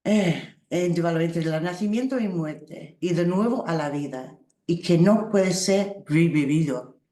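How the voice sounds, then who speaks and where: Slow, reflective woman, Spanish. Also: fairly low pitch, mellow. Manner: slow, halting, reflective, with small laughs. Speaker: workshop teacher. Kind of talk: spiritual workshop talk. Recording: roomy echo.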